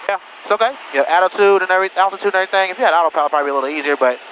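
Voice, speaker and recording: Man, slightly high voice, rapid casual instruction, encouraging, informal, muffled cockpit intercom. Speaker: pilot host coaching and interviewing a guest. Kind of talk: casual in-flight instruction and chat. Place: small airplane cockpit in flight. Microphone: aviation headset intercom. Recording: dull lo-fi cockpit radio audio, engine noise.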